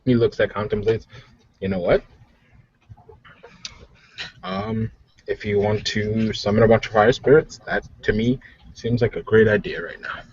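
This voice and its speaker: A man's voice: fairly low pitch, lively intonation, measured pace, vocal fry, long pauses, darkish tone, husky, no room sound, lo-fi sound. A man chatting casually as a player in a tabletop roleplaying game.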